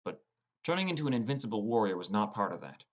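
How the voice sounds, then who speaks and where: A man's voice: on the low side, very animated, fast. A man reading scripted first-person fantasy narration as an audiobook narrator. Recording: music bed under voice. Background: prominent plucked guitar music.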